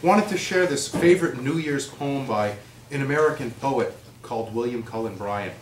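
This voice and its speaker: A man recites with theatrical, widely swinging intonation at a middle pitch. He sounds a little away from the mic in an echoey room with faint noise. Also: animated, moderate pace, far from the mic. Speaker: reader at a readers theater event. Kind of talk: expressive live poetry recitation and introduction.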